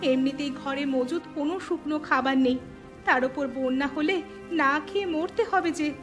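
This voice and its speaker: A woman's voice: high-pitched, normal speed, lightly acted. A woman voicing an anxious village mother in a cartoon, fretting and lamenting. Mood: fretful. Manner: fretful, lamenting. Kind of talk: cartoon voice acting. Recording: music under the voice.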